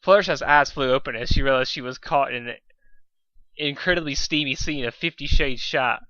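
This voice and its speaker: A man reading a story aloud as an amateur, playful and animated, stumbling over words now and then. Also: medium pitch, normal speed, no echo, muffled recording. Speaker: amateur fan fiction reader.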